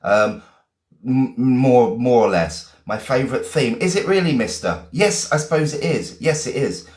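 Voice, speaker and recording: A man's voice: mid-range voice, expressive, unhurried, darkish tone, echoey room. A man with an English accent, a teacher greeting viewers one by one in a chatty live-stream lesson. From England, Essex.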